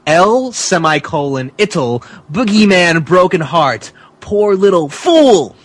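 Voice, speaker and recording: A man with a fairly high, slightly rough voice reads amateur fiction with mock drama. He takes it slowly and stumbles over the text as he goes. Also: very animated, dry recording. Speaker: podcast co-host reading a villain's part.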